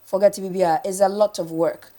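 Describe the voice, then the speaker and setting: A woman's voice: medium pitch, steady tone, fairly quick, dark tone. A woman with a Ghanaian accent in casual morning show chat, repeating phrases for emphasis and slipping into Twi.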